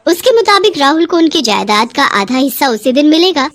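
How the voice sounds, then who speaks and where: Female story narrator, high voice, very animated intonation, dramatic scripted read. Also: fairly quick.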